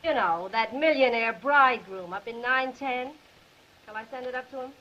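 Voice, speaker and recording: An actress playing a gossipy hotel desk employee in a 1930s film. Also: female voice, fairly high pitch, normal speed, sharp tone, highs cut off. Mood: chatty, businesslike. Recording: noisy 1930s film soundtrack.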